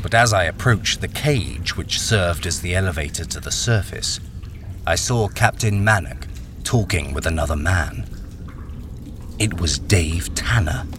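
A man's voice: deep voice, animated, unhurried, noisy background. A man playing a wary, experienced miner, acting and narrating a radio crime thriller in a guarded, brooding way. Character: wary, experienced male miner, blackmailed, narrating his ordeal.

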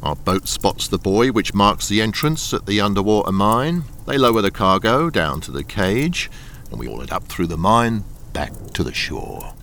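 A male actor as a menacing smuggling boss from a radio crime drama. His low voice swoops widely as he patronizes and threatens with smooth politeness. There is faint noise behind him. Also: very animated, normal speed, a bit bright. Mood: confident, persuasive. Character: suave menacing smuggling boss, coolly blackmailing a deserter.

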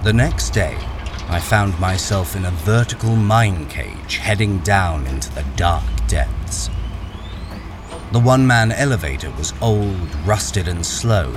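A male actor voices a plain-spoken miner narrating an audio thriller in the first person. He is guarded and measured in his storytelling, with a deep voice, expressive rises and falls, and clear music behind him. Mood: wary, storytelling.

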